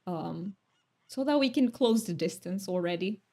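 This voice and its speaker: A woman's voice: mid-range voice, animated, normal speed, mellow. Chatty woman streamer. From Romania. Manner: chatty, thoughtful, laughs often. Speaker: streamer reading chat. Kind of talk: casual chat.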